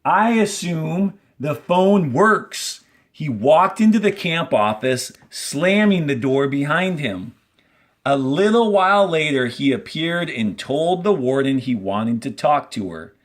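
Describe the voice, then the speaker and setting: A man reading a story aloud as a teacher, deliberately, with instructional asides to those following along. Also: medium pitch, very animated. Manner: deliberate, explains punctuation and page cues as he reads. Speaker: fourth grade teacher reading aloud to a class.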